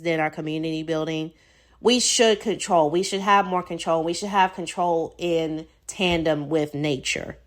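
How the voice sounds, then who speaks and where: Low-voiced American woman podcasting. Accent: Southern US. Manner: casual, reflective, frequent "like" and "um" fillers. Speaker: podcast host. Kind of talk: solo podcast monologue.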